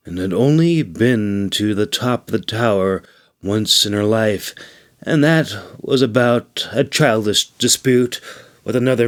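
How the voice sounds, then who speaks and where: A man reading his own fantasy novel as a scripted audiobook narration, with deliberate, measured phrasing. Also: on the low side.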